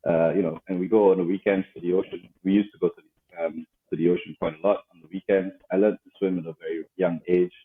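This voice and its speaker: A man's voice: low voice, flat delivery, fast talker, husky. A man from Malaysia, a diving instructor, answering interview questions casually and hesitantly with many fillers and restarts. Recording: phone-like call audio.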